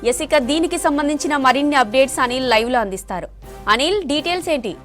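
A woman news anchor. Music plays softly beneath her delivery. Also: sits high, lively intonation, quick pace. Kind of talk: scripted news bulletin reading. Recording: music bed under the voice.

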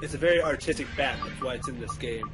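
A man's voice: mid-range voice, quick pace, music in the background. A man chatting casually over a video game in a playful, joking way. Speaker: co-commentator on a game playthrough. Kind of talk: casual gameplay chat.

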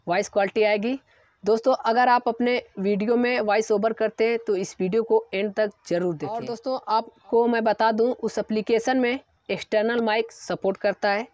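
A young man, hosting a casual tech tutorial. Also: high voice. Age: young adult.

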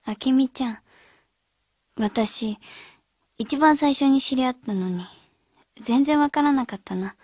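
Earnest high-voiced schoolgirl leader. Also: measured pace, long pauses, darkish tone, breathy edge, dry recording, studio quality. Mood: regretful. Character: earnest teenage schoolgirl leading her radio club friends. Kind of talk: radio drama acting.

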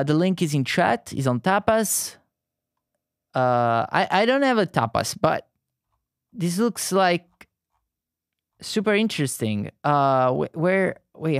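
Southern Italian male streamer, thirties, halting friendly chat, dry close sound. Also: fairly high pitch, gravelly, no echo. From Italy. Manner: halting, frequent restarts and fillers, friendly. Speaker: art streamer. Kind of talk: casual live-stream chat while painting.

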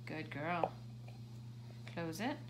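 A woman on the floor of a carpeted living room, speaking slowly to a toddler. She keeps her pitch lively and bouncy, repeating single words and reminding the child to say please. A steady hiss of home-video background noise sits under her voice. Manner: patient, slow, repeats simple words and prompts manners. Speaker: parent playing with a toddler. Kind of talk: coaching a toddler through play.

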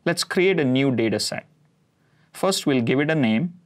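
Man calmly walking through a tech demo. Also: medium pitch, moderate pace. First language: Konkani. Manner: steady explanatory, occasional "uh" fillers. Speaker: cloud product presenter. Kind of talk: scripted tech demo narration. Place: studio set with map backdrop.

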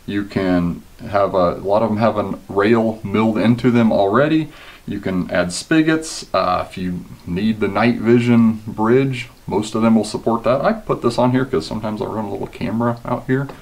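A man in his thirties with a low voice talks easily about rifle gear to a camera set up on his table. His delivery is relaxed and conversational, dotted with ums and you-knows. He's recorded in a small indoor gun room. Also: normal speed. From Kansas, USA. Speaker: competition shooter and channel host. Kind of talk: casual gear talk to camera.

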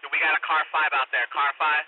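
A man's voice: a little high, flat delivery, quick pace. An American man working as a police radio dispatcher, urgently giving repeated, commanding instructions over a two-way radio. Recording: noisy phone-like police scanner radio.

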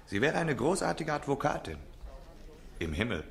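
An actor playing a witty, theatrical gentleman in a German radio play, speaking with ironic flourish. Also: medium pitch, big pitch swings, moderate pace, vocal fry. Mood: wryly amused. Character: Gilroy, dapper, witty, theatrical male colleague.

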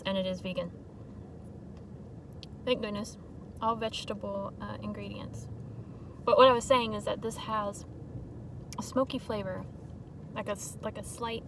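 A young woman vlogs casually from inside a car, with a mid-pitched voice. She talks in short, informal asides, half to herself. The mic sits a little away from her and loud vehicle noise runs behind the voice. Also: distant mic. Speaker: vlogger. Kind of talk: casual vlog chat while preparing food. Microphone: phone propped at about arm's length.

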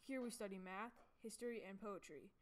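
A woman's voice: medium pitch, brisk, close to an everyday voice. A woman voicing a proud, welcoming male monk who explains his home in a storybook.

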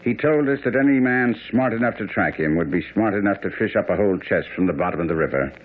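An actor in a radio drama playing a brilliant gentleman detective, precise, self-assured and dry. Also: fairly low pitch, expressive, fast talker. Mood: calm, dry. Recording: dull, noisy old radio broadcast.

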